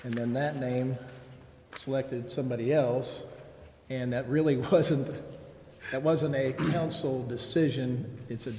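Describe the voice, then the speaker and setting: A man's voice: mid-range voice, fairly even intonation, unhurried, darkish tone, a little hiss or hum. A man speaking informally as a council member in a public meeting discussion. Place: indoor council chamber. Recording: very echoey council chamber.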